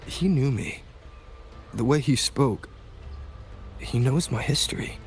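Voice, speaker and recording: A man's voice: medium pitch, takes it slowly. A man playing a serious young wanderer hero in a video game, speaking in a terse, restrained way. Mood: grave. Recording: music behind the voice.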